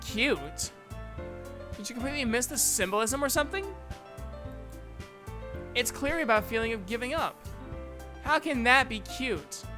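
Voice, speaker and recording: A woman's voice: on the high side, animated, moderate pace, pauses often, over music, natural voice. A woman voicing a feisty, blunt, easily angered teenage girl in an anime visual novel fandub, with a snappy, confrontational manner. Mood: indignant.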